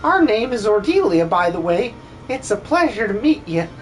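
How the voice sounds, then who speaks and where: A man's voice: high voice, very animated, moderate pace, faint music behind. A man voicing a small, polite female game creature with quaint, broken grammar. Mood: wistful, polite.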